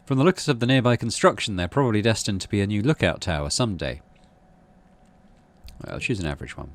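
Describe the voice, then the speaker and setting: A man's voice: fairly low pitch, animated, fast. A man reading out a story game as narration and adding casual commentary as he plays. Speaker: video game streamer.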